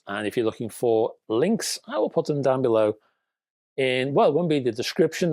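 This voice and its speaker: A man's voice: medium pitch, darkish tone, dry recording. Male tech news presenter, conversational and wry, addressing viewers directly, recorded dry and close. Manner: conversational, rhetorical questions and wry asides.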